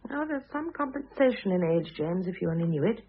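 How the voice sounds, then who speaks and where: An actress playing a composed middle-aged ex-wife and mother in a radio drama, gentle, wry and affectionately teasing. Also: medium pitch, big pitch swings, brisk, slightly rough. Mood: gentle, reflective. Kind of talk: classic British radio drama acting. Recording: muffled phone-like old radio recording.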